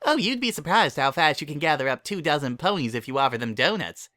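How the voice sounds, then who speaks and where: A hyperactive young mare from a cartoon world, played by a man, pitched way up high. She gushes and chatters with zealous party-planner energy, in bright, bouncy swoops. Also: big pitch swings, fast, no echo, clean studio sound. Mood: excited.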